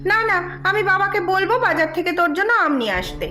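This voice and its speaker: Scolding cartoon mother, woman actor: extremely high, animated pitch, commanding and nagging, echoey room. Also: quick pace, exaggerated voice. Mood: worried scolding. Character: strict, worried scolding mother of a young boy. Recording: echoey, music behind.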